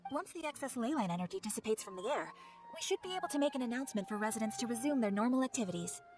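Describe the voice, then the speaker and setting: A woman's voice: a little high, expressive, very fast, music in the background, natural voice. A woman voicing a polite, composed adventurers guild receptionist in a video game, with formal service manners. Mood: polite, businesslike. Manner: formal, courteous service talk. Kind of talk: scripted video game dialogue.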